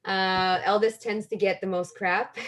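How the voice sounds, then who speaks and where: A woman's voice: medium pitch, steady tone, takes it slowly, fairly bright tone. Enthusiastic Canadian woman host in her twenties. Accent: Canadian English. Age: 20s. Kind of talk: casual chat.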